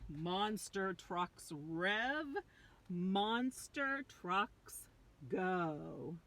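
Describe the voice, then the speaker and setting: A woman's voice: lowish voice, expressive, very slow, a bit bright. An older woman reading a picture book aloud to young children, drawing out key words with dramatic emphasis.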